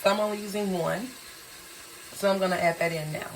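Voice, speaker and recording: A hoarse woman who has lost her voice, casually and tiredly talking through a recipe in her home kitchen. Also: fairly even intonation, brisk, slight background noise, low-quality recording. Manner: tired, low-key, chatty with fillers. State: hoarse, lost voice from sinus infection. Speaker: home cook vlogger. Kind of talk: casual cooking demonstration. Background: sizzling and frying in a pan.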